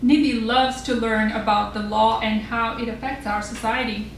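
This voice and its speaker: A woman's voice: on the high side, fairly even intonation, normal speed. A woman hosting a stage event, reading a scripted introduction for the next speaker. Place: echoey room, live stage event. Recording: very echoey room, distant mic, noisy.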